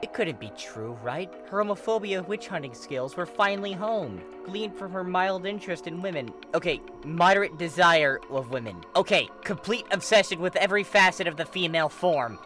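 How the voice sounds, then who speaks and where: A man reading fan fiction aloud as narrator, in an expressive scripted storytelling style. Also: high voice, normal speed, few pauses, soft background music.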